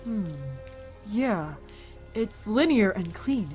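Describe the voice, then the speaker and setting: A woman playing a brisk, practical doctor among apocalypse survivors in an audio drama. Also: mid-range voice, animated, a little mellow, faint music behind. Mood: urgent, practical. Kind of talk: fan audio drama dub acting.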